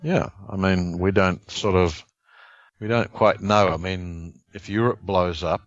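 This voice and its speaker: A man with a Texan accent chats casually as a podcast co-host, tossing in wry asides and chuckles. His voice sits very low. The recording is dry and a bit dull. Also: fairly even intonation, unhurried, no room sound. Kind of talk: casual chat.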